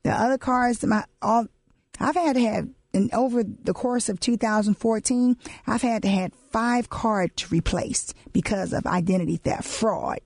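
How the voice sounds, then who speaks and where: A husky woman in her forties co-hosts a radio talk show from a studio. She talks emphatically, leans on key words and repeats them, and the sound is dry and close with no room echo. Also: on the high side, measured pace, darkish tone. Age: 40s. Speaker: radio co-host.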